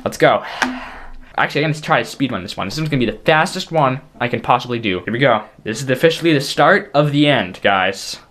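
A teenage boy talking casually to his stream in a small bedroom, excitable and jokey, his voice strained from overuse. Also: mid-range voice, a little hiss or hum. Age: teens. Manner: excitable, jokey, talks fast in bursts. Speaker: YouTuber. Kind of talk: casual stream chat to camera.

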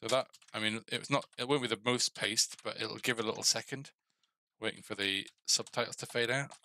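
A man's voice: on the low side, steady tone, a little fast, sharp tone. A middle-aged man thinking aloud in casual fragments as he codes at his desk on a headset. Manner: muttering to himself in fragments. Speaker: game developer streaming his coding. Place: indoor desk. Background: keyboard typing, clicks, faint music.

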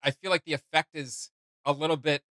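A man's voice: a little high, fairly even intonation, a little fast, sharp tone, no room sound, clean studio sound. A young man giving casual reaction commentary, hesitant as he gropes for the right words. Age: young adult. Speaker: reaction video host. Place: small home studio room.

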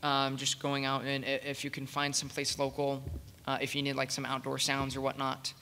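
A man talking casually and haltingly as a panelist at a convention. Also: medium pitch, completely flat delivery, sharp tone. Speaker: panelist, radio play producer. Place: large convention meeting room, panel table. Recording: hall reverb, convention room PA.

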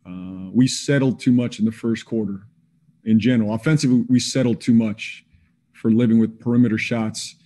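Mellow-voiced coach in a postgame video call. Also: male voice, fairly low pitch, steady tone. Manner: measured, plain-spoken, with frequent fillers. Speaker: basketball head coach. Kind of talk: postgame press conference. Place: indoors in front of a team backdrop.

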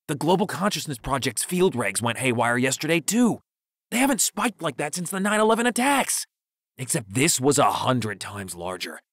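A man acting out a hyper young stoner guy, pitched up. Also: very animated, normal speed, a bit bright, no room sound, studio quality. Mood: breathless alarm. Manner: hyper, emphatic cadence. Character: hyper stoner teenage conspiracy theorist, excitable young man. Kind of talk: audiobook character acting.

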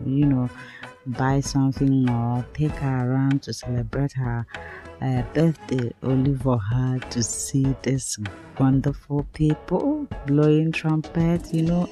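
A middle-aged woman with a Nigerian accent, hosting a celebrity news vlog in a low-key late-night delivery. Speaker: channel host.

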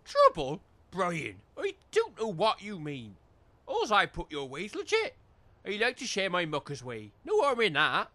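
Man doing a high-voiced, wheedling village chancer. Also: wildly swooping pitch, unhurried, sharp tone, no echo, exaggerated voice. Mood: defensive. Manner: rustic dialect, cajoling. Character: wheedling, chancer village labourer, rustic and chummy. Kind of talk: comedy audio drama.

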